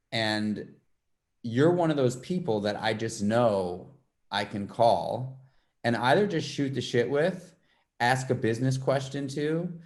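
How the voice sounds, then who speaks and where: Low-voiced man hosting a podcast. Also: darkish tone. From New York City. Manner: loose and rambling, full of fillers. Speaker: podcast host. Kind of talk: casual interview chat.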